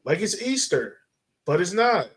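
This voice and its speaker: A man hosting a casual sports podcast, talking in an excitable, slangy way. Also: sits high, unhurried, a crowd in the background. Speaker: podcast host.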